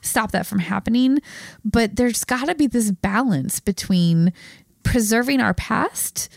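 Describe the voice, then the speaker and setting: A woman co-hosting a podcast, hoarse from a cold, talking with passion and strong opinions. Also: on the high side, measured pace, no echo, clean studio sound. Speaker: podcast co-host. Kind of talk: casual chat.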